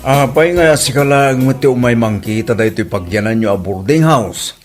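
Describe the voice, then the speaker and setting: A man's voice: medium pitch, moderate pace, faint music behind, natural voice. A man acting as an amused, teasing visiting relative in a comedy drama.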